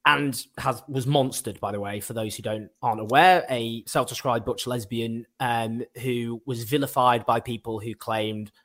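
A man's voice: mid-range voice, fairly even intonation, normal speed, hardly any pauses, fairly bright tone. A man from the north of England hosting an interview in a chatty, enthusiastic way, with a light Northern accent. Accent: light Northern English, mostly neutral. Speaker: host.

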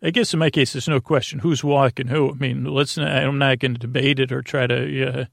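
Creaky-voiced man rambling soothingly. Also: mid-range voice, fairly even intonation, no echo, studio quality. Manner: meandering, halting, stammering, self-interrupting. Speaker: host of a bedtime sleep podcast. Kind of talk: rambling, soothing bedtime monologue.

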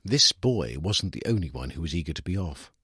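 A British man reading a literary short story in an expressive, wry first-person storytelling manner, with a husky voice. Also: low-pitched, a little fast, no room sound, clean studio sound. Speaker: story reader.

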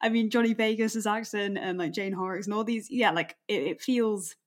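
A woman's voice: mid-range voice, moderate pace. A woman interviewing in a casual, conversational way, feeling out her thoughts in tentative, interested, broken phrases.

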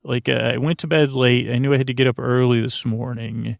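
A man hosting a sleep podcast in a rambling, halting monologue, trailing off and interrupting himself with whimsical, self-deprecating humor. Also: medium pitch, little pitch movement, gravelly, no room sound. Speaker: podcast host.

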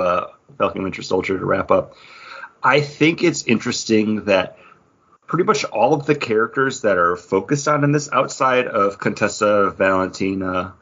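A man hosting a podcast in a casual chat, rambling and halting, with "like" dropped in often. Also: fairly low pitch, fairly bright tone, slightly rough, dull audio. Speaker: podcast host.

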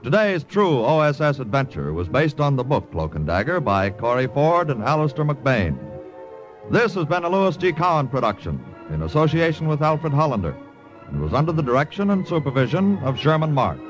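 A male radio announcer reading the credits and promos in a brisk, formal way. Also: fairly high pitch, big pitch swings, muffled recording. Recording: old radio broadcast with faint music.

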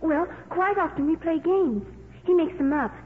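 Solemn little girl, high voice, precise and scholarly, 1950s radio play with tinny, narrow sound. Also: normal speed. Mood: proudly precise. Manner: earnest, precise, bookish. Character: solemn, precocious little girl, a scientist's daughter. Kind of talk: 1950s radio drama acting.

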